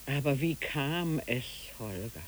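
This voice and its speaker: Stern, slow-speaking woman, widely inflected. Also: extremely low pitch, expressive, heavily acted. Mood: stern, probing. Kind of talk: poetic German radio drama acting. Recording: clear tape hiss.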